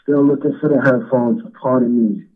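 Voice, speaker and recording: A man with a New York accent and a husky, monotone voice, speaking casually as a phone interview guest over a muffled line. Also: medium pitch, moderate pace. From Brooklyn, New York. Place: at home on a phone. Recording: muffled phone line, faint music behind.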